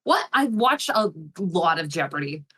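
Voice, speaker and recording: A woman's voice: lowish voice, big pitch swings, unhurried, a bit bright, husky. A woman plays along as a contestant on a comedy podcast. She trades dry, wry quips with the others. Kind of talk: casual comedy podcast chat.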